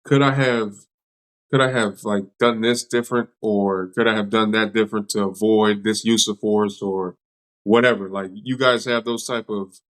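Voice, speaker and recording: Casual American man, slightly low voice. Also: steady tone, moderate pace, a bit bright. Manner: informal, interjecting, rambling questions. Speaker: podcast host. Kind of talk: casual interview chat.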